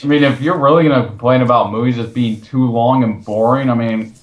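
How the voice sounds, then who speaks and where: A man's voice: mid-range voice, steady tone, fairly quick, dull audio. A man co-hosting a film commentary, mocking and sarcastic as he reads and reacts casually. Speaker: co-host of a film commentary show. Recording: roomy, echoey voice recording.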